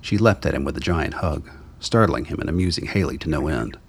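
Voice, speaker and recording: A man with a gravelly, husky voice narrating a scripted radio drama. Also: extremely low pitch, animated, fairly quick, slight background noise.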